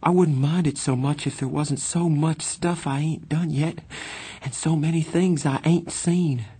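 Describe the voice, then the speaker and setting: A frightened, injured teenage street boy from a novel , acted out by a man reading aloud. The voice sits well above the reader's own, weak and breathy. The speech is halting and slangy, soft and vulnerable. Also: mid-range voice, no room sound, voice pushed up. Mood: scared, despairing. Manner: halting, drawling working-class slang. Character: badly injured frightened sixteen-year-old street boy, soft-spoken.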